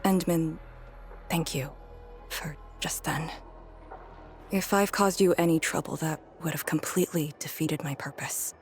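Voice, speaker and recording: A woman's voice: low-pitched, measured pace, soft background music. A woman acting as a tense, self-blaming military task leader in a video game. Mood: composed, resolute.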